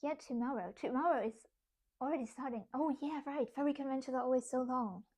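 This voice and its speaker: A woman's voice: sits high, brisk, a little mellow. A young woman from Germany with a German accent touched by British English, chatting casually with her stream chat while she works on crafts, laughing often. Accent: German, with some British English. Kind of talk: casual craft livestream chat, reading chat aloud.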